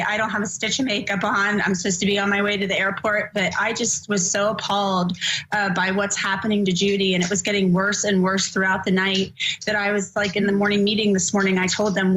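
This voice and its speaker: A woman's voice: mid-range voice, fairly bright tone, low-quality recording. A woman presenting on a legal news broadcast, earnest and conversational with frequent fillers. Her voice is worn and fading, and a faint hum of ambience sits behind her. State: losing her voice. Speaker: legal news show host. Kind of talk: recounting on a broadcast.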